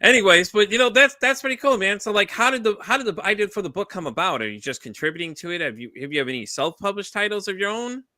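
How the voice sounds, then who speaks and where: A man's voice: high voice, lively intonation, fast, few pauses, pushed and bright, dry recording. A man hosting an interview show, chatting casually and enthusiastically with his guest and leaning on fillers like "you know.". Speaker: podcast host.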